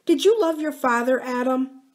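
A woman voicing a sweetly pleading, manipulative young woman in an audiobook, with soft, drawn-out phrases. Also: high voice, lively intonation, pitched above the performer's own voice. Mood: coaxing, confiding. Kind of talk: audiobook character dialogue.

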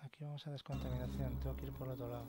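A man with a Spain Spanish accent giving casual commentary as he plays a game, thinking out loud and sometimes dropping to a hush. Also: mid-range voice, little pitch movement, rapid-fire, very noisy. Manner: chatty, thinks aloud, sometimes drops to a hush. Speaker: let's play host. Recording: loud game sound under voice. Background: horror game ambience.

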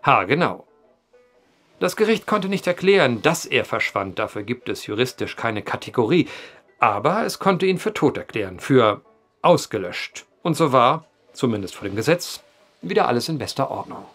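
An actor playing a brooding, eloquent storyteller at a bar in an audio drama, wordy and theatrical. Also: male voice, medium pitch, sing-song, normal speed, fairly bright tone, soft background music. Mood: wry.

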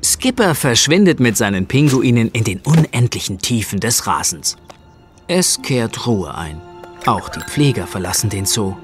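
A man's voice: lowish voice, sing-song, normal speed, faint music behind. A man narrating a scripted children's audio play as its storyteller.

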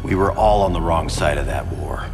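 A man's voice: sits low, moderate pace. An American man playing a cocky but earnest space-cop superhero in a video game cutscene. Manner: wisecracking, emphatic. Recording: loud music and effects behind.

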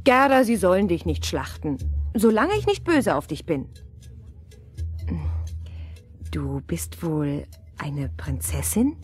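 An actress playing a wild, bossy young robber girl with a husky voice in a fairy-tale audio play. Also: lowish voice, very animated, unhurried, soft background music. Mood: earnest.

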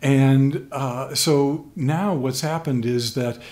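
An older man with an American accent, explaining things as an expert guest in an interview, in measured phrases with pauses. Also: medium pitch, steady tone, darkish tone. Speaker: guest expert, sports sociologist. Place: small plain room at a table, indoors. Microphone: desk microphone on stand.

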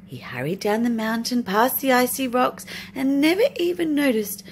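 A woman's voice: fairly high pitch, animated, normal speed. A woman narrating a children's storybook expressively as a storytime reader. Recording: noisy home recording.

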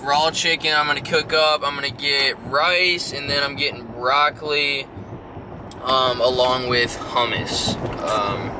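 Male vlogger, twenties, American, mid-pitched and bro-ish casual chat, recorded on location with noticeable ambient noise. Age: 20s. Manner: bro-ish slangy address to viewers. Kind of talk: casual vlog chat to camera. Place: car, large warehouse stores, parking lot. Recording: noisy car and big-box store ambience.

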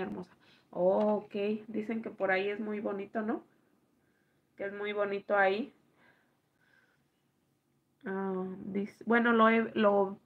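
A woman, hoarse from allergies, chatting slowly with viewers and reading their comments aloud on a live stream from home at night. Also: mid-range voice, phone-quality sound. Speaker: livestream host reading chat. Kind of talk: casual live chat with viewers.

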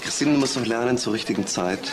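A man's voice: mid-range voice, fast talker, lightly acted, poor audio. An actor playing a smug, needling man with a suave, mocking manner in a German crime radio drama. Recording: busy crowd murmur behind.

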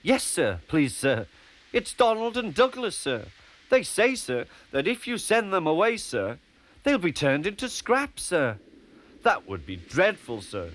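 A man's voice: high-pitched, huge pitch swings, measured pace, fairly bright tone, pitched above the performer's own voice, heavily acted. A man voicing a timid young male tank engine who stammers nervously and keeps saying "sir". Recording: music playing behind.

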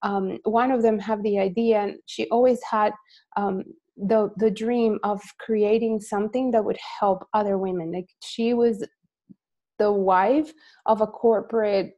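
Woman in her forties, team leader on a video call: mellow, warm-toned voice, candid and rambling, mid-range pitch. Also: unhurried, muffled recording. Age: 40s. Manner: energetic, candid, rambling personal story. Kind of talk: motivational talk on a video call.

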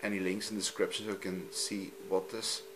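Belgian man with a Dutch accent, halting. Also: sits low, little pitch movement, noisy background. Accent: Dutch-speaking Belgian. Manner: halting, frequent ums, simple non-native phrasing. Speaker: hobbyist youtuber. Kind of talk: off-camera screen demo. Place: room at home, filming a TV screen.

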